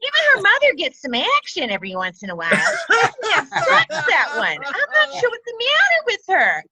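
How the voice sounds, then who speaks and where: A woman's voice: very high-pitched, wildly swooping pitch, normal speed, a loud crowd, exaggerated voice, low-quality recording. A woman playing a nagging, oversharing mother in her late sixties who rambles and gossips crudely on a comedy video call. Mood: fussing.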